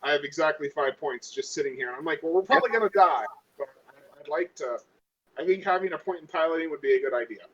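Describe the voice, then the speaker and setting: Higher-voiced man on a dull mic. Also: animated, fast talker, fairly bright tone, slightly rough. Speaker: player in a tabletop role-playing game. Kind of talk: casual game table chat.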